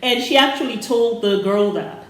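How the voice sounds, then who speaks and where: A woman from Sierra Leone with a West African accent, co-hosting a casual chat and telling stories in an animated way, acting out the voices of the people in them. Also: fairly high pitch, normal speed. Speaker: podcast co-host. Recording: very echoey room.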